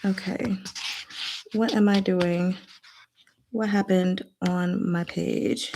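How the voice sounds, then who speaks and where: A woman's voice: medium pitch, steady tone, takes it slowly, warm tone, a little hiss or hum. A woman hosting an informal book discussion, talking in a casual, blunt, slangy way and often interrupting herself to address her listeners. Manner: scattered, self-interrupting, talks to herself and audience. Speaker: host of a book discussion session. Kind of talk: informal live book discussion, recapping plot.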